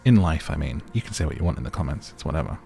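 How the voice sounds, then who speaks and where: A soft-spoken man giving gentle, chatty, relaxed ASMR commentary over a game, as the host of the video. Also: extremely low pitch, very fast, gravelly. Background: game music.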